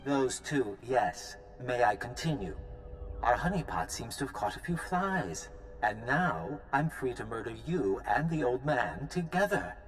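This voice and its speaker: A man's voice: mid-range voice, very animated, unhurried, low-quality recording. An actor playing a smug, menacing corporate villain in a video game, sardonic and mock-polite as he taunts. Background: game music.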